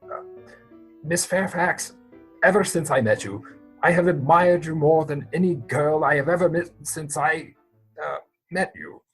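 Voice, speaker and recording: A man playing an awkward, nervous young gentleman suitor in a stage comedy reading, hesitant and stiffly polite. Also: fairly high pitch, a little slow, slightly rough, soft background music, low-quality recording.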